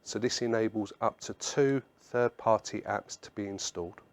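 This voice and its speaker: A man presenting a product webinar in a measured, instructional way, explaining slides point by point. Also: on the low side, gravelly.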